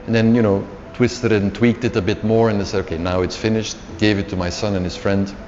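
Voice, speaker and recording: Interview guest: middle-aged man, Dutch native speaker, low voice, casual and unhurried, restarts words. Dull lo-fi sound, long reverb, faint music under it. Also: flat delivery, darkish tone. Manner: unhurried, repeats words while searching.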